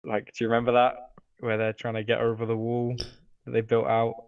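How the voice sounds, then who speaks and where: A man's voice: mid-range voice, completely flat delivery, brisk, clean tone. A man co-hosting a podcast, talking casually about films in a hesitant, wry conversational way on a slightly muffled microphone. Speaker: podcast co-host. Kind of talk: casual film chat. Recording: dull, slightly lo-fi microphone sound.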